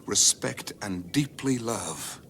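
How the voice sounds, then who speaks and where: A man's voice: lowish voice, lively intonation, slow pace, vocal fry, fairly bright tone, a little hiss or hum, exaggerated voice. A man playing a brash, hip space rogue in a radio comedy, slangy, swaggering and impatient. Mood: smug, laid-back. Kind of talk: British radio comedy drama acting.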